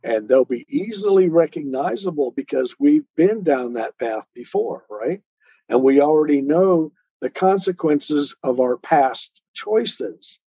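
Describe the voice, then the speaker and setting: A man's voice: a little high, animated, a little slow, dark tone, slightly rough. A man speaking as an interview guest, earnestly explaining spiritual ideas step by step in a didactic way. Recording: muffled, roomy, lo-fi sound.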